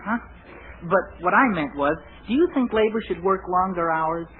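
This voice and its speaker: A man's voice: sits high, expressive, normal speed, narrow, tinny audio. A man playing a polite but drily exasperated schoolboy reporter in a 1940s radio comedy. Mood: dry, exasperated. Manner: polite, dry, wry. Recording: noisy band-limited 1940s radio recording.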